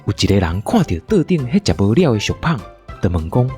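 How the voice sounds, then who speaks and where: A man with a Taiwanese accent narrates a children's radio story as the show's storyteller. His mid-pitched voice moves in lively swings, with faint music underneath. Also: a little fast. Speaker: storyteller and show host.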